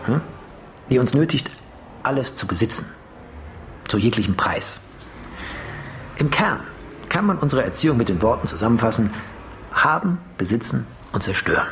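An actor playing an intense filmmaker reading shot directions and reflective monologue in a radio drama. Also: male voice, medium pitch, a bit bright, a little hiss or hum. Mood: bitter, reflective.

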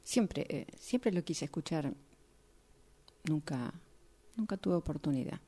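A woman's voice: low voice, animated, takes it slowly, warm tone, husky, heavily acted. A woman improvising a radio drama part as a sociable mother making tentative, awkward small talk. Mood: chatty, awkward. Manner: tentative, chatty. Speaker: radio drama workshop participant.

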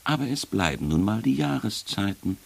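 An actor playing a wry, cynical, worldly conjurer in a radio play, with an offhand philosophical manner. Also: male voice, fairly low pitch, sing-song, moderate pace, vocal fry, full character voice. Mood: wry musing. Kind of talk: radio play acting, dialogue.

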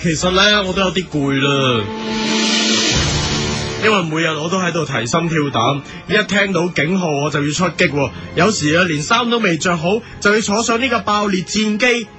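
A high-voiced man acts out a hungry, grumbling fighter-squad hero for a comic radio play. He speaks with a Hong Kong Cantonese accent, whining wearily over a music bed. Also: moderate pace, close to an everyday voice. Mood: weary, complaining.

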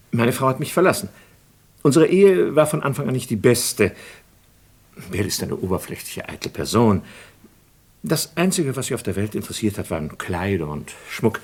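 An actor playing a composed, dignified doctor under suspicion in a radio play, formal and measured, with a hesitant, evasive way of talking. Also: male voice, on the low side, husky. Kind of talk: German radio play dialogue.

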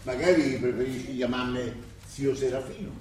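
A man's voice: medium pitch, moderate pace, darkish tone, natural voice. A man playing a fussy, servile priest's manservant with a Roman accent, nagging and grumbling affectionately in a theatrical comic radio play. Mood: coaxing. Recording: echoey stage, distant mic, audience noise.

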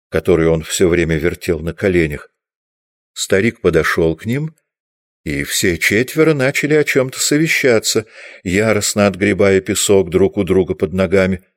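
A man's voice: deep voice, dry recording, studio quality. A man reading scripted literary narration as the narrator of an audio drama.

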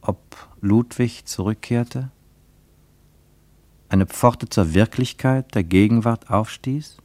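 A man acting as the grave, reflective narrator of a literary radio play, with deliberate dramatic phrasing. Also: lowish voice, a little mellow, dry recording. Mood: grave, suspenseful.

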